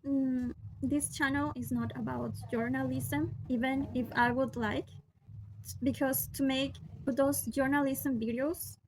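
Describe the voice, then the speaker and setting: A young woman from Peru, a Spanish speaker with a Peruvian accent, talking to her camera in careful English with many pauses and restarts. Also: sits high, fairly even intonation, a little mellow, a little hiss or hum, rough recording. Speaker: vlogger. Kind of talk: casual talk to camera.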